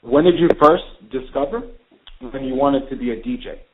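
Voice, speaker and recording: Man, interviewer, short encouraging questions, phone-line sound, mid pitch. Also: moderate pace, background noise. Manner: brief, encouraging prompts.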